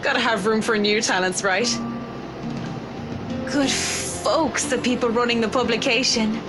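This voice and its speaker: A woman's voice: on the high side, steady tone, a little slow, close to an everyday voice. An actress playing a poised, professional female interior designer, composed and conversational in visual novel game dialogue. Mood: poised, friendly. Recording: music behind the voice.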